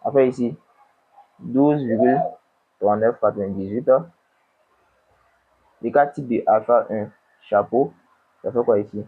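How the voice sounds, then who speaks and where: Man, stuffy cold, husky voice, tinny phone-like sound, slow dictating tutor. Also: mid-range voice. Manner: slow, dictating numbers, asks and answers himself. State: has a cold. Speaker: econometrics tutor. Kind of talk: screen-recorded worked exercise.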